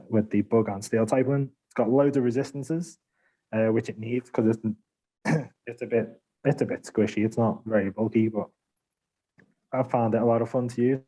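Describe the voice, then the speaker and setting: Mellow man, rambling gamer chat. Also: fairly low pitch, rough recording. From Liverpool, England. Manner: rambling, laughs a lot, self-deprecating. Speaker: podcast panelist. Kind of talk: casual gaming chat.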